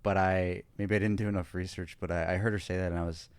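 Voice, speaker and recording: A man's voice: sits low, flat delivery, fast talker, smooth, dry recording. A man hosting a podcast, talking in a casual, hesitant way with many fillers. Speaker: podcast host.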